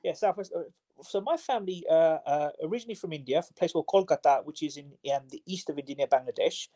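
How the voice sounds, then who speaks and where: A man's voice: a little high, quick pace, mellow. A man, a personal trainer, answering interview questions in a chatty way, with many fillers and restarts. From Southwest London.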